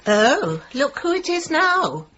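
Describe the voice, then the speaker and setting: A woman's voice: high voice, very animated, takes it slowly, dull audio, noisy background, heavily acted. An actress playing a catty, man-hungry village woman, gushing and melodramatic, in a radio comedy-drama.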